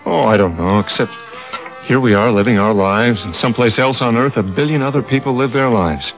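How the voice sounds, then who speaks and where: An actor in a radio drama playing a troubled, brooding husband, earnest and preoccupied. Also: male voice, mid-range voice, a little fast, close to an everyday voice. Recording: dull radio recording with music.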